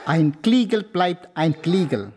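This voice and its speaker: A teasing martial arts master in a radio play. The speech is very slow and lively in pitch, delivering solemn maxims. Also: a little hiss or hum. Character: pompous, playful martial arts master lecturing a pupil. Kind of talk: radio crime drama acting.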